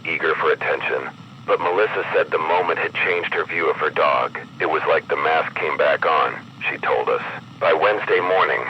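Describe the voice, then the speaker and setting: A man reading a scripted horror emergency broadcast as an announcer, in a grave, measured, ominous manner. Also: rumbling low. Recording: muffled broadcast with clear background noise.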